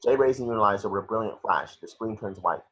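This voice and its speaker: Low, husky male script narrator, dull audio. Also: fast, a bit bright. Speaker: stage direction narrator. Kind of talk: scripted action narration in a table read. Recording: dull lo-fi home recording.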